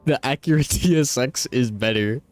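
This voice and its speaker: A man's voice: mid-range voice, normal speed. A man doing casual, profanity-laced live game commentary and banter, with a blunt, teasing, slangy manner. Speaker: game streamer. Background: music.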